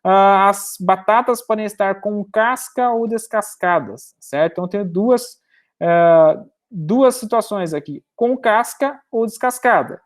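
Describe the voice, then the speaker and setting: A woman giving a recorded university programming lecture, explaining step by step and often pausing to check that students follow. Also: mid-range voice.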